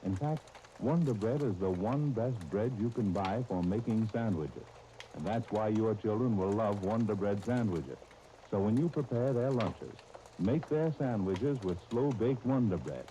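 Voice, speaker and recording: A man working as a 1930s radio commercial announcer, reading a scripted sales pitch on an old recording. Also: expressive, a little slow, warm tone. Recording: faintly noisy 1930s radio disc.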